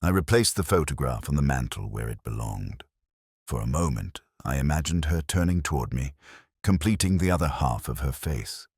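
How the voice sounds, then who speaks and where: A man playing a very deep-voiced, brooding detective. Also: expressive, gravelly, darkish tone, breathy edge, dry recording, studio quality, exaggerated voice. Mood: brooding, reflective. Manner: measured, reflective, literary phrasing. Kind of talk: scripted first-person detective narration, audio drama.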